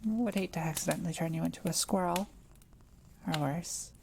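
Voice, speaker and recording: Kind witch for audio roleplay, female performer: relaxed, crackly low-leaning voice, gentle and thoughtful, quietly proud of her craft. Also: normal speed, vocal fry. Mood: gentle, curious. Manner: gentle, hesitant, explanatory musing with small hums. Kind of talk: intimate audio roleplay addressed to the listener.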